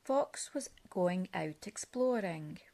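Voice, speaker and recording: A woman reads a picture book aloud for children. Her voice sits a little low, and she takes her time, shaping each phrase deliberately and expressively as a storyteller. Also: lively intonation, creaky, mellow.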